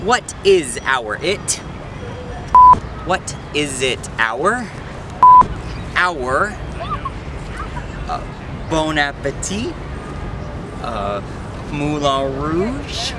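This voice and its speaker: An actor playing a clueless American student who fumbles for the right words. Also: male voice, on the high side, very animated, drawn-out words, long pauses, recorded from a distance, very noisy, full character voice. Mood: confused.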